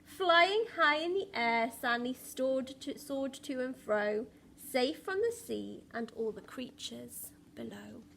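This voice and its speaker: A woman's voice: high-pitched, lively intonation, slow, vocal fry, few pauses, fairly bright tone, a little hiss or hum. A woman primary school teacher reading a picture book expressively to young children, with dramatic emphasis and questions to the listeners.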